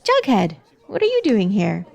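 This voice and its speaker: A woman's voice: medium pitch, huge pitch swings, normal speed, vocal fry, a little mellow, full character voice. A woman playing a sweet, polite, easily flustered teenage girl in a 1940s-style radio comedy. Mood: puzzled. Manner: hesitant, earnest.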